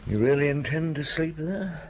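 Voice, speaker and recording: An actor playing a measured, probing police chief inspector in a radio drama, dry and reserved, asking terse questions. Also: male voice, fairly high pitch, lively intonation, slight background noise. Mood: guarded, probing. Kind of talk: radio drama dialogue and narration.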